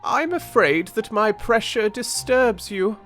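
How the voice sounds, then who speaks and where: A tiny storybook gnat, speaks in a high voice far from a grown man's. It is conceited and fussily polite, delivering its rhymes with great self-importance. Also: lively intonation, takes it slowly, fairly bright tone, faint music behind, full character voice. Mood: self-important concern. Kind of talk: rhymed storybook fable read aloud.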